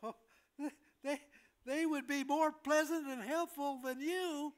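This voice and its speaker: Older man playing a slow, high-pitched, plaintive patient. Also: steady tone. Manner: hesitant, plaintive, halting. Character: tired, put-upon, complaining hospital patient. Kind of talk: staged comedy play reading.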